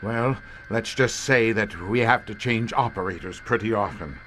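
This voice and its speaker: A man performing a grim, battle-worn older commander from a horror radio play. His voice is sharp and forceful, and he delivers ornate sentences with weary, deliberate weight. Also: fairly low pitch, a little hiss or hum, lightly acted. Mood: businesslike, grim. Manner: eloquent, florid, deliberate speech. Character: grim, weary veteran commander of secret tunnel monster-hunting police. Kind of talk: dramatic audio horror acting.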